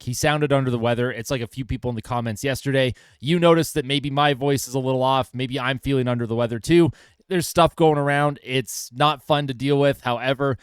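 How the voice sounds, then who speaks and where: A man's voice: medium pitch, clean tone, no room sound, clean studio sound. A young man hosting a casual hockey pre-game commentary in a chatty way, with lots of filler words, while he keeps losing his voice. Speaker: hockey channel host.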